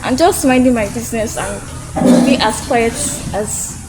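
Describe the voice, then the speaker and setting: Soft-spoken Nigerian woman. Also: on the high side, measured pace, very noisy. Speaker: interviewee, international student. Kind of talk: casual interview answers.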